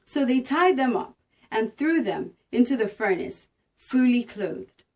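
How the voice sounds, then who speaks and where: A woman narrating a scripture story in a theatrical, emphatic and deliberate reading style. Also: a little high, animated, a little mellow, distant mic. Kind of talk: dramatic Bible reading.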